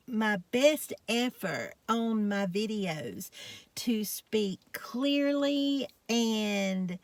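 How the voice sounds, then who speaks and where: A woman's voice: mid-range voice, fairly bright tone, no room sound. A woman with a strong South Georgia country accent, chatting casually in a folksy, rambling way as a vlogger. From South Georgia, United States. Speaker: health and fitness vlogger. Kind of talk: casual chat to camera.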